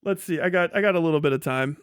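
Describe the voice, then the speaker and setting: A man's voice: a little high, fast, smooth, dry recording, clean studio sound. A man talking casually as a livestream host, blunt and sarcastic, with frequent swearing.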